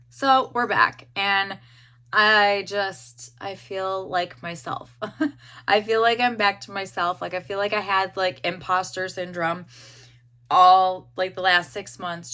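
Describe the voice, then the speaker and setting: A young woman with a hoarse voice, casually reviewing a hair dye and rambling with lots of fillers. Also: mid-range voice, pushed and bright. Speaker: beauty vlogger.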